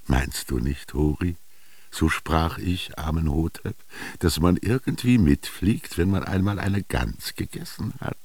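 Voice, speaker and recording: An actor in a radio drama playing a melancholy, yearning ancient Egyptian man recalling his youth, speaking lyrically in a gravelly, husky voice with lingering pauses. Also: low-pitched, a little mellow, no room sound, full character voice. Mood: musing. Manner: lyrical, brooding, with rhetorical questions and lingering pauses. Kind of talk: literary radio drama monologue.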